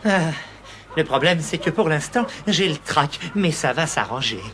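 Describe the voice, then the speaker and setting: An actor voicing an excitable, eager and clumsy would-be butler in a French cartoon dub, flustered and theatrical. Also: medium pitch, unhurried, slight background noise. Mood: eager, nervous.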